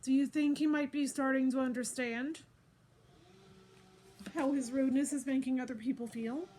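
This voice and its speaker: A woman's voice: sits high, steady tone, moderate pace, dark tone. A woman storyteller reading a picture book aloud to children, expressively, addressing listeners directly as she goes.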